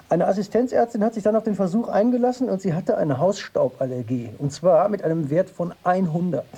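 An actor in a German radio drama playing an anxious, stubborn hypochondriac who broods and justifies himself in a naturalistic way. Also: male voice, fairly high pitch, mellow, breathy edge. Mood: agitated, insistent. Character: anxious, stubborn male hypochondriac, technical editor.

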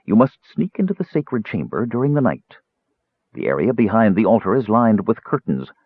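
A man voicing a cold, sickly male mage who speaks softly, dryly and in measured phrases. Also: fairly low pitch, very animated, slightly rough, dry recording. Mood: counseling. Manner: soft, dry and measured. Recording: tinny phone-like narrow band.

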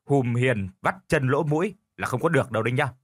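A gruff older husband from an audio storybook, acted by a male narrator, stubborn and domineering. He grumbles and scolds with lively swings in pitch, recorded dry and close. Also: fairly quick, no echo. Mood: gruff, threatening.

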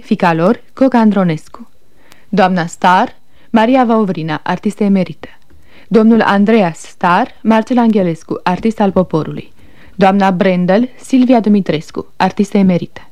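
A woman announcer reads scripted radio credits. Her voice is dark and mellow at a medium pitch, formal and measured, in a dry studio sound. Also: no room sound.

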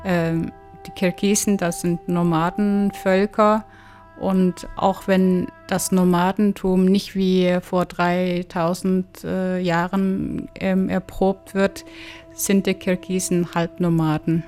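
A woman from Kyrgyzstan, giving halting interview answers as an expert. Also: lowish voice, level tone, darkish tone, faint music behind. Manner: halting, hesitant delivery. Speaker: interviewed expert, legal anthropologist.

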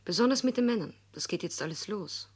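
A woman playing a nagging, suspicious mother of a teenage girl in a 1970s German radio play, with a husky voice. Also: mid-range voice, expressive, quick pace. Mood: warning.

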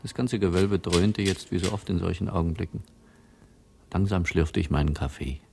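An actor playing a fussy, eager first-person narrator in an experimental radio play, telling his tale deliberately and theatrically. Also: low voice, steady tone, gravelly, a little mellow, a little hiss or hum. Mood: brooding. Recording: 1970s radio drama with music. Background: music.

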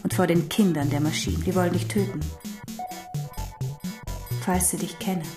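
A woman in a radio drama playing a grave, protective mentor who explains things in a measured way. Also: on the low side, steady tone, lightly acted. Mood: grave warning. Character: grave protective mentor warning a young one. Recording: studio voice over clear music.

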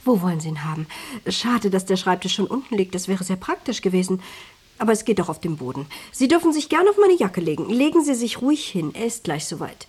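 An actress playing a plain-spoken, put-upon wife who keeps a goat, blunt and plaintive in a German radio drama, with a husky, breathy voice. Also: female voice, medium pitch, sing-song, brisk, a little mellow. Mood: cool, matter-of-fact.

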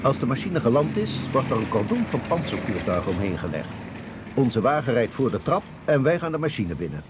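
Over clear vehicle rumble, a man plays a watchful colonel in a radio play. His mid-pitched voice is expressive and varied, with courteous, formal authority. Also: fairly quick, close to an everyday voice. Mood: assured, serious. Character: confident, earnest male intelligence colonel, courteous and watchful. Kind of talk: Dutch radio drama acting. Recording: clear vehicle noise behind voice.

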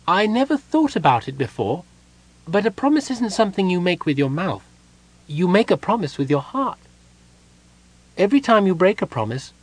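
A man voicing a small, excitable, childlike male kender who chatters and stumbles over his words, in a husky voice. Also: high-pitched, dry recording, pitched above the performer's own voice, rough recording. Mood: earnest.

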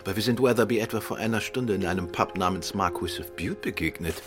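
An actor playing a composed, urbane gentleman detective who stays alert, in a German radio play. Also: on the low side, fast, music in the background, lightly acted. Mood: composed, urgent.

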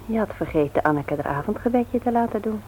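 Woman acting an expressive wife in radio play. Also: medium pitch, fast, background noise, lightly acted. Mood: earnest reproach. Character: Els, playful but earnest, devout wife and mother.

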